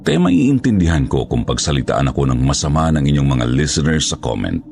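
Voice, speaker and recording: An aging former crook confessing in a horror story, read by a man. The voice is extremely deep and gravelly, earnest and regretful as he recounts his past. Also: big pitch swings, a little fast, background noise, heavily acted. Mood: earnest, guarded. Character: regretful older male ex-criminal telling his past. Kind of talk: first-person scripted horror storytelling.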